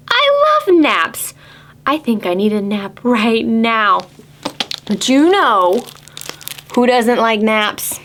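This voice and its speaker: A woman hosting a children's storytime, reading aloud in an animated, exaggerated way and putting questions to young listeners. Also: a little high, slight background noise. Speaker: children's librarian, storytime host. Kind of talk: children's picture-book read-aloud and singalong.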